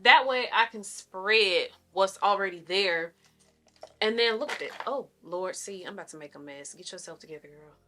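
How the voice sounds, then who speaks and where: A woman's voice: medium pitch, moderate pace, pushed and bright, a little hiss or hum. A woman in her thirties chatting casually through a makeup routine, a little nasal, rambling in friendly asides to her viewers. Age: 30s. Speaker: lifestyle vlogger. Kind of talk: casual makeup tutorial chat.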